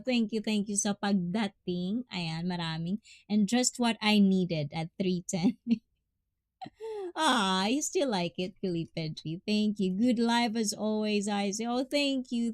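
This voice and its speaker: Slow-talking Filipino woman livestreamer. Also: mid-range voice, no echo, studio quality. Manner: chatty, giving heartfelt advice, laughs often. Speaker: livestream host. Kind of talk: casual livestream chat, thanking viewers.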